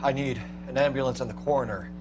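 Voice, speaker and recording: An actor playing a stern, by-the-book police detective in a video game drama. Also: male voice, mid-range voice, fairly even intonation, quick pace, heavy background noise, natural voice. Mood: stern, official. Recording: music over game dialogue.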